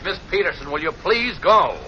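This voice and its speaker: An actor playing an irritable, blustering lawyer boss, exasperated and emphatic, in a 1940s radio comedy. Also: male voice, sits high, expressive, normal speed, bright, forceful tone. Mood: exasperated, irate. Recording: very noisy, dull 1940s radio recording.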